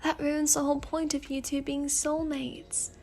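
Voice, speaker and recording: Female reader as mystical mirror spirit, British accent, high pitch, playful, pleading, theatrical storybook character. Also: flat delivery, moderate pace, a little mellow, slight background noise.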